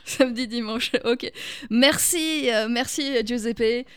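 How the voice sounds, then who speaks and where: A woman hosts a radio interview in a dry studio. Her voice sits slightly high. She moves things along briskly with quick questions and short acknowledgments. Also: fairly bright tone, smooth, no room sound. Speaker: radio host.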